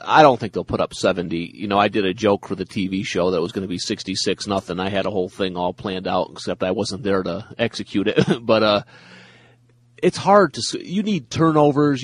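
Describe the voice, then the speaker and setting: A man's voice: on the low side, fairly quick, no room sound. A man with a nasal voice co-hosting a sports talk show, giving easygoing football analysis. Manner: easygoing analysis, laughs often. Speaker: sports radio co-host, former player.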